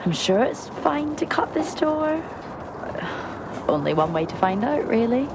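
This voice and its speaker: A woman's voice: sits high, very animated, a little slow, vocal fry, very noisy, heavily acted. An actress playing a guarded, dry-witted woman responder in a video game, speaking naturalistically in a wry, muttering way. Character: guarded, dry-witted female responder working alone at remote outpost.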